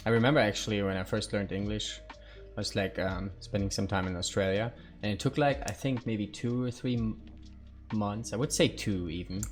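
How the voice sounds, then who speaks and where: A young man, a native Hungarian speaker, chatting casually with viewers while streaming a game, full of fillers and asides. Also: deep voice. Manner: chatty, answers viewer chat, many fillers. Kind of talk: casual chat while gaming.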